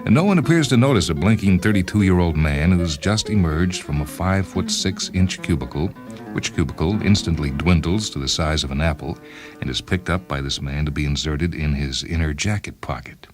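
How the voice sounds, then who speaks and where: A man's voice: sits low, brisk, close to an everyday voice. A man voicing a pedantic, self-absorbed inventor in his thirties, narrating a science-fiction story in the first person. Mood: pedantic, self-satisfied. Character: obsessive thirty-two-year-old time-travelling inventor, pedantic, coolly murderous. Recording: music behind the reading.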